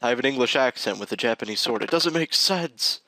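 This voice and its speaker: A man's voice: mid-range voice, expressive, a little fast, bright, forceful tone, dry recording, poor audio. A man giving joking, rambling commentary while playing a video game. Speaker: let's play host. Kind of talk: casual gaming commentary. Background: game music.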